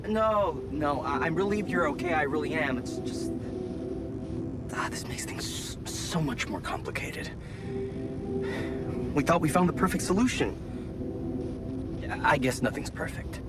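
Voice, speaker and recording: A man's voice: on the high side, expressive, measured pace, pauses often. A man acting in a video game cutscene as an anxious, earnest scientist colleague, with hesitant, stammering conversational delivery. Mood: shaken, worried. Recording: music playing behind the voice.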